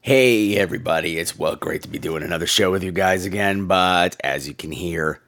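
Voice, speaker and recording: Hoarse, gravelly campy male podcast host. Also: low voice, fairly bright tone. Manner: campy, playful, theatrical drawn-out words. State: hoarse with laryngitis. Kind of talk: casual, campy podcast chat.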